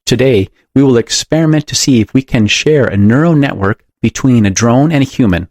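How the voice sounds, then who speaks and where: A man's voice: mid-range voice, animated, fairly quick, darkish tone, dry recording, studio quality, natural voice. A man voicing a pompous, zealous doctor who makes grand, uplifting speeches in an audiobook. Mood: grandiose, zealous. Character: pompous, zealous male doctor lecturing on bold experiments. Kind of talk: audiobook character voice.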